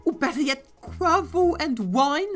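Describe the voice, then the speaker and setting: Woman voicing a high-pitched haughty cartoon lady pony. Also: unhurried, faint music behind. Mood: indignant. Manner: affected, dramatic, drawling grand phrases. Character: haughty, scheming ladylike pony mare, theatrical and posh. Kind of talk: fan parody cartoon dub.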